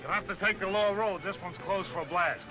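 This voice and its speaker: A man's voice: sits high, quick pace, lo-fi sound, music in the background, lightly acted. An actor playing a hard, menacing tunnel foreman in 1940s film serial style. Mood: cool, sly.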